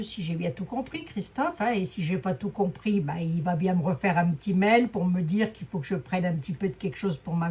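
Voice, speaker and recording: A woman with a hoarse voice giving a casual, rambling card reading, full of fillers and asides to her viewers. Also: mid-range voice, a little mellow. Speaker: clairvoyant doing a card reading. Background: fan noise.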